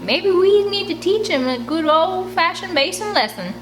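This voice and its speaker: A woman's voice: very high voice, animated, normal speed, noisy background, pitched above the performer's own voice. Woman putting on a menacing backwoods hillbilly villain.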